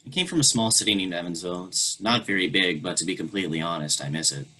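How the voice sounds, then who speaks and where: A man's voice: sits low, quick pace, pushed and bright. A man voicing an anxious teenage transgender girl who is the new student, earnest and defensive, in a virtual play reading. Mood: wistful. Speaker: actor in a staged play reading. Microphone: video call.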